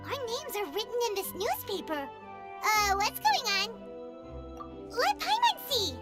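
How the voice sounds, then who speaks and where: A woman voicing a tiny, perky, childlike fairy companion in a video game, with a squeaky voice and a cutesy way of talking. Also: very animated, takes it slowly, loud music behind the voice, exaggerated voice. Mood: puzzled. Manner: refers to herself in third person, cutesy.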